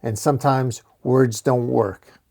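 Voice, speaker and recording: Guy doing a grim comic book vigilante, intense, angry, forceful. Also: mid-range voice, steady tone, slow, creaky. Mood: grim resolve. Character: grim, angry male masked vigilante, resolute.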